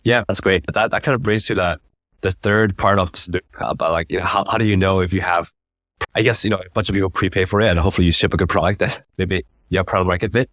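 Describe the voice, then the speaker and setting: A man hosting an interview in a casual, conversational way, repeating words haltingly as he forms his thoughts. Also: sits low, fairly even intonation, a bit bright, no echo. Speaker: interview host.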